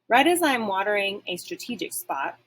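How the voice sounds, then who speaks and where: A woman's voice: mid-range voice, big pitch swings, normal speed, fairly bright tone, husky. A woman reading a children's story aloud like a teacher, expressively, with dramatic and varied emphasis.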